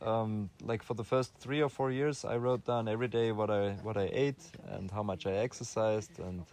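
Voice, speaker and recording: A young man from Austria answering interview questions in a relaxed, thoughtful way, often restarting his sentences and filling pauses with "um". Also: fairly low pitch. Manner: thoughtful, many restarts and fillers. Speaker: interview guest.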